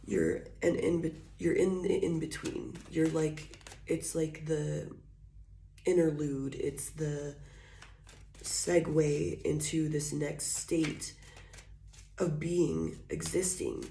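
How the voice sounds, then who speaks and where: Hoarse woman, low gravelly voice, mellow tone, echoey room. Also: steady tone. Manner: soft, slow and drawn-out, with scattered fillers. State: hoarse, recovering from illness, coughing. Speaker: tarot reader. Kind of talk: casual channeled tarot reading. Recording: echoey room, faint noise.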